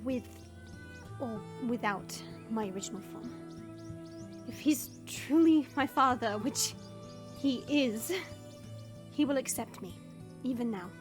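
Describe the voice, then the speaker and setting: A proud young princess, acted by a woman, speaks in a high, regal voice with formal, haughty resolve. It is fantasy audio drama, set over clearly audible music. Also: takes it slowly, pauses often. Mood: resolute. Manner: formal, commanding.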